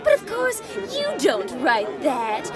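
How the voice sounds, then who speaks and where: Radio-play socialite, young woman played by an actress: affected, self-absorbed gushing, very animated pitch, chattering crowd around. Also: slow pace, heavily acted. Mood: gushing, airy. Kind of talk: old-time radio play acting. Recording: party crowd and music behind.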